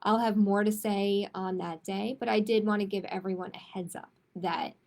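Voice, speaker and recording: A woman speaking as a children's storytime host, in a measured manner suited to picture books and simple chat with families. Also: mid-range voice, fairly even intonation, vocal fry, a little mellow. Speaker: children's librarian hosting storytime. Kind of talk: picture book read-aloud, then casual announcements.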